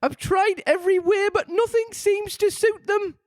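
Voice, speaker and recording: A reader voicing an indignant female pigeon in a shrill, high falsetto, drawn out and contemptuous. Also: unhurried, no echo, clean studio sound. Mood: complaining. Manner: contemptuous, huffy, stretched-out words.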